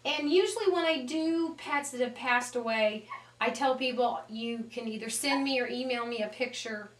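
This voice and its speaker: A woman talking conversationally as an interviewed animal communicator, telling stories in an animated way and quoting the dialogue as she goes. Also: fairly high pitch, moderate pace. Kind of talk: conversational storytelling and explaining. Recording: echoey room sound.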